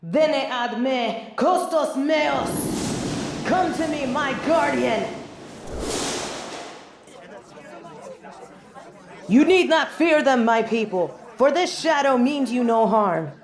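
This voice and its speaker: A woman's voice: high-pitched, expressive, slow, crowd noise, heavily acted. A woman playing a haughty, commanding angel judge in a scripted audio roleplay, speaking in a pompous, formal, theatrical manner. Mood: calling, assured.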